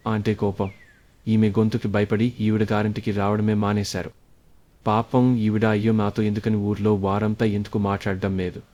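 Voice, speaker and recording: A man tells a scripted parable in a low, dark voice. He works as a storyteller here, reading from a prepared text.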